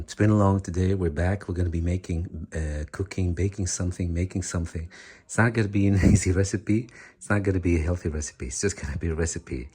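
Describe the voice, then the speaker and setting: A man hosting his cooking channel, explaining a recipe in a relaxed, rambling way and often correcting himself mid-sentence. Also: deep voice, breathy edge. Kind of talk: casual cooking tutorial.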